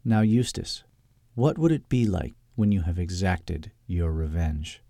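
A smooth, calculating male lawyer from a comic audiobook, voiced by a male narrator. He sounds measured and pleased with himself, coaxing with varied intonation. The sound is dry and close. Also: low-pitched, animated, mellow, dry recording, full character voice. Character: calculating, smooth-talking male lawyer, unflappable and self-satisfied.

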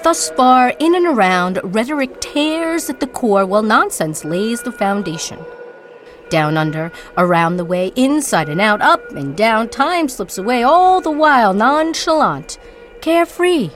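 A woman performing a dramatic spoken-word monologue as narrator, with theatrical, deliberate, incantatory phrasing. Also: fairly high pitch, animated, slight background noise.

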